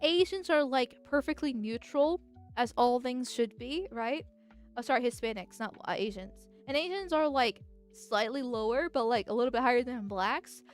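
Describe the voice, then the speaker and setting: Brief: woman streamer, nasal and high-pitched, rambling, giggly, faint music behind. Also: moderate pace. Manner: rambling, giggly, with frequent filler words. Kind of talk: casual livestream chat.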